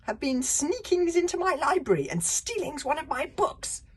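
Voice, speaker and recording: A woman's voice: high voice, very animated, normal speed, voice pushed up. A woman voicing a hairy, scary male librarian villain with a hissing, whiny voice and broken grammar. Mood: menacing. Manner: screechy, mangled grammar.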